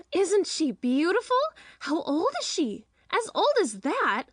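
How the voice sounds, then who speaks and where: A woman acts out a gushing female theatre gossip in an audiobook drama. The high voice swings animatedly through breathless, admiring chatter, recorded very dry. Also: very animated, takes it slowly, fairly bright tone, dry recording, heavily acted. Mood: admiring, nosy.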